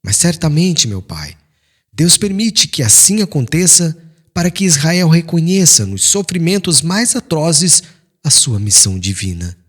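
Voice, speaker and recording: Man acting an earnest, pleading young Hebrew son, animated. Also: on the high side, a little slow, voice pushed up. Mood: earnest persuasion. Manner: earnest, humble, imploring. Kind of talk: dramatized audiobook reading.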